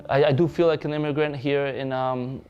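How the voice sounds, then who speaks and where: A young man from Poland with a Polish accent, a police officer and boxing manager, speaking in a halting, earnest way with many restarts in a documentary interview. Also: mid-range voice, steady tone, darkish tone, clean tone, lo-fi sound.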